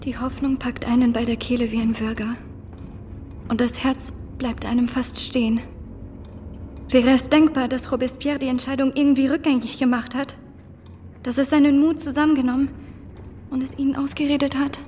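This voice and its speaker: A woman's voice: high-pitched, little pitch movement, normal speed, long pauses, dark tone, big-room sound, a little hiss or hum, close to an everyday voice. An actress playing an anxious woman clinging to hope with dread, in a radio drama. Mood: fearful hope.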